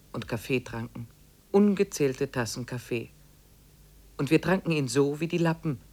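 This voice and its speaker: An actor in a 1950s radio drama playing a reflective trekker who narrates his expedition diary in a measured way. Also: mid-range voice, natural voice.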